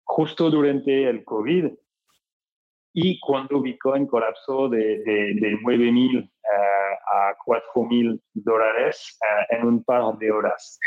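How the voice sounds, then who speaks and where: A man's voice: medium pitch, highs cut off. A man from France with a French accent, speaking as a startup cofounder answering an interview question, with many fillers and restarts.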